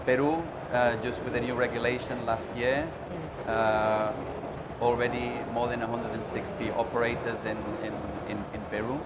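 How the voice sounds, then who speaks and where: A man answering as a panelist, speaking slowly with many filler sounds between phrases. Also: mid-range voice, little pitch movement, very noisy. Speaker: panelist, regional director.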